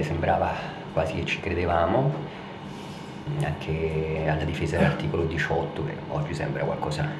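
A man delivering a lyrical personal recollection. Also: sits low. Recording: roomy, loud music behind voice. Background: loud music.

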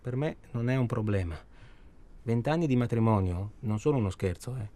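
An actor playing a nervous, chatty middle-aged husband in a naturalistic radio drama, hesitant and rambling, repeating himself and seeking agreement. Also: male voice, medium pitch, moderate pace, dark tone, lightly acted. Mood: confiding, wry.

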